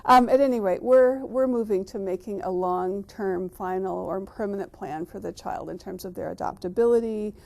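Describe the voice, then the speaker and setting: A quiet, soft-spoken woman co-presenting a court training, partly reading from her notes. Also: medium pitch, normal speed, gravelly, hardly any pauses.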